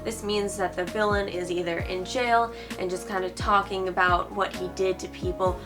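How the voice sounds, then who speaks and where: Casting: female online host, lower-middle to middle pitch, informal, sarcastic commentary style, music clearly behind the voice. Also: fairly even intonation, moderate pace. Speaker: host of a writing advice channel. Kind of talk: opinionated video commentary, semi-scripted.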